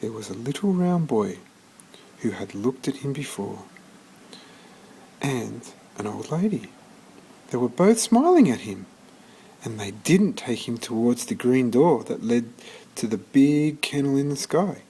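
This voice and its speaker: A man reading a children's story aloud in an expressive storytelling manner, occasionally stumbling and restarting. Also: medium pitch, measured pace, long pauses, husky, rough recording. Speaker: storybook reader.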